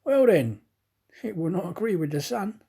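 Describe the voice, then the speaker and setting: A man voicing a suspicious, self-assured detective in a storybook, probing and deliberate. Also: high-pitched, very animated, gravelly, dark tone, husky, pitched above the performer's own voice, full character voice.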